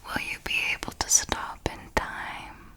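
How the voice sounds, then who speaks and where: Lady doing a vampire mentor asmr, breathy whisper, really slow, bossy and coaxing with her fledgling. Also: pushed and bright. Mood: hushed, instructive. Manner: slow, deliberate, coaxing and instructive. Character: possessive, commanding female vampire mentor to a fledgling.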